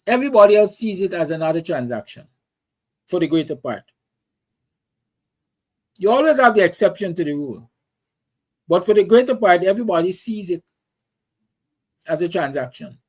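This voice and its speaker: A man's voice: high voice, sing-song, normal speed, long pauses, darkish tone. A male talk show host with a Guyanese accent holds forth in a political monologue. He is emphatic and opinionated, driving points home with repeated phrases and rhetorical questions.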